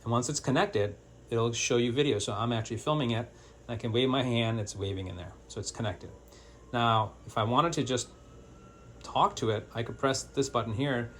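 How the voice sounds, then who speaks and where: A man's voice: medium pitch, moderate pace, fairly bright tone, slight background noise. A man presenting an informal step-by-step product tutorial in a plain-spoken, hands-on, instructional way.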